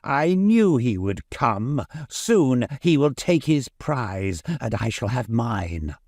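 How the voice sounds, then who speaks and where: A man's voice: medium pitch, big pitch swings, slow pace, darkish tone, no room sound, clean studio sound, heavily acted. A man voicing a scheming, smug sheriff, the villain of a children's tale, in a sly and self-satisfied manner.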